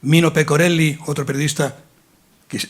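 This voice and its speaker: A man's voice: a little high, fairly even intonation, normal speed. A man speaking at a public colloquium, rambling through a long explanation with many restarts and fillers. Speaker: invited speaker. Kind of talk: lecture-style talk in a colloquium.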